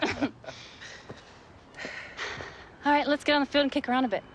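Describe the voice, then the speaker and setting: A woman's voice: high voice, steady tone, rapid-fire, a little hiss or hum, close to an everyday voice. An actress playing a determined, blunt teenage girl footballer and younger sister in a video game drama. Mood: upbeat, coaxing. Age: young adult. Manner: blunt, challenging, impassioned. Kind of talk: dramatic game cutscene dialogue. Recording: clear music behind the voice.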